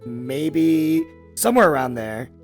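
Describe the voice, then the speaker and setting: Man, thirties, raspy, slightly high voice, casual podcast chat, rambling, faint music behind. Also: sing-song, slow. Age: 30s. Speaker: podcast host.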